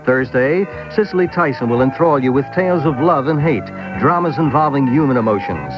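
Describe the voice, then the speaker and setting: A man's voice: mid-range voice, lively intonation, a little fast, music in the background. A man reading a scripted radio promo as programme announcer. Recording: dull old radio recording.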